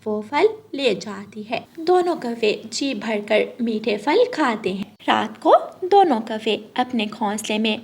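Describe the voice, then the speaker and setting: A woman's voice: fairly high pitch, lively intonation, slow, hardly any pauses, darkish tone, breathy edge. A woman narrating a scripted story for children.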